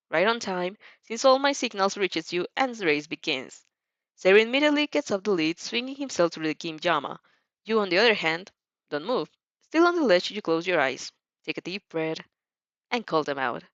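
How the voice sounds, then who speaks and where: A woman reading dramatized fan fiction as narrator, with expressive storytelling in slightly dull audio. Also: lowish voice, dry recording.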